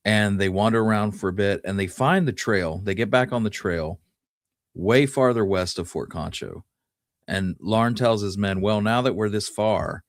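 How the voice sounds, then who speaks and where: A man's voice: low voice, no room sound. Man telling history, close dry sound. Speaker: podcast host telling history. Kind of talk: casual storytelling, Wild West history talk.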